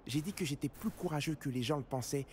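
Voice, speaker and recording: A man's voice: fairly high pitch, fast talker, darkish tone, natural voice. An actor voicing a nervous young male student wizard in a video game, talking anxiously about his reputation. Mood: fretful. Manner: anxious, talkative. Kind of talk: video game character dialogue.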